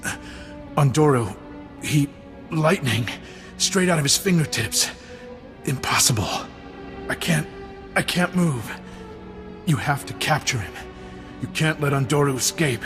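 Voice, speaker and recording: An actor playing a gravely wounded military commander in a video game, gasping weakly, halting and urgent. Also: male voice, fairly high pitch, lively intonation. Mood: pained, shocked. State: weak and gasping from injury. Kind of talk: video game dramatic dialogue. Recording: loud music underneath.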